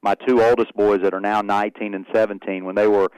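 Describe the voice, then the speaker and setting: A man's voice: on the low side, level tone, fast, clean tone, no echo, muffled recording. Folksy Southern-accented man, dry sound. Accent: Southern US (Oklahoma). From Oklahoma, US. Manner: repeats words often, folksy. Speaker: guest, athletics director. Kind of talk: radio interview.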